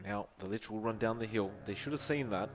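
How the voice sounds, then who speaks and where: A man's voice: on the low side, fairly quick, a little hiss or hum. An Australian man doing play-by-play esports commentary with chatty, joking banter. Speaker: esports caster.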